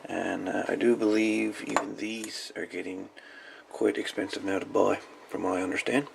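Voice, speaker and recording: A man giving a casual hands-on show-and-tell as a hobbyist, in a folksy, rambling, halting way. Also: lowish voice, little pitch movement, vocal fry, background noise. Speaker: radio hobbyist presenting salvaged parts.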